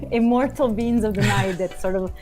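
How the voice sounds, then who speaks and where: A woman from Serbia chatting casually as a podcast guest, giggling between her sentences. Her voice sits at a middling pitch, and music plays clearly behind her. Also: a little slow. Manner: giggly, laughs often. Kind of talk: casual chat.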